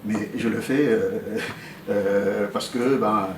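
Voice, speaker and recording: A man's voice: fairly low pitch, steady tone, slow pace. Impassioned Congolese man, distant and roomy. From Republic of the Congo. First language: Okutuba. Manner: hesitant fillers, then rises sharply when emphatic. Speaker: community member addressing a meeting. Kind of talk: impassioned public remarks. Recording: roomy sound from off-mic, faint hum.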